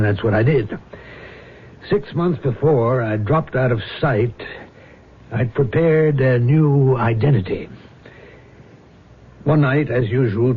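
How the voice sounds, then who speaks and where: An actor playing a weary former gambling front man on the run, confessing in a deliberate, halting way in a radio drama. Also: mid-range voice, slightly rough. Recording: noisy, tinny old radio broadcast.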